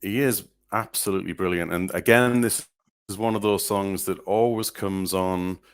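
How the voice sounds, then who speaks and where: A man's voice: deep voice, steady tone, moderate pace, clean tone, no echo. A man from northeast England, co-hosting a podcast, chatting loosely about songs with many hesitations and restarts. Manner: rambling, hesitant, full of restarts and fillers. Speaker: podcast co-host. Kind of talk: casual chat about music.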